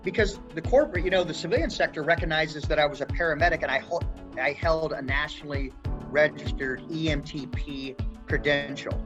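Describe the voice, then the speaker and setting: A man's voice: on the high side, fairly quick, music in the background. Midwest guy in his 40s chatting as a podcast guest, um-heavy and loose, slightly muffled recording. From Midwest US (Indiana/Michigan). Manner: rambling, many fillers and restarts. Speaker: guest, retired Air Force pararescueman. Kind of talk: casual interview talk.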